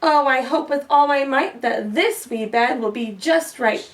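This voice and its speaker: A woman's voice: high voice, measured pace. A woman voicing a mischievous, carefree little girl in singsong rhymes for a storybook, a bit far from the mic. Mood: fussy, chatty. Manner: chanting, exclaiming in singsong rhymes. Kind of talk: children's storybook read-aloud.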